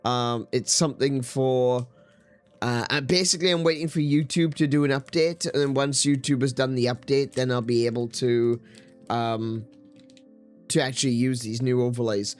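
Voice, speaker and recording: A man hosting his live stream, chatting casually and rambling with hesitant fillers and restarts, his voice a little croaky and strained. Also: mid-range voice, steady tone, normal speed, soft background music. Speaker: streamer host.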